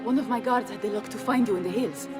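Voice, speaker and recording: An actress playing a confident, commanding Italian noblewoman with an Italian accent and a brisk, teasing manner. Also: medium pitch, music in the background, close to an everyday voice.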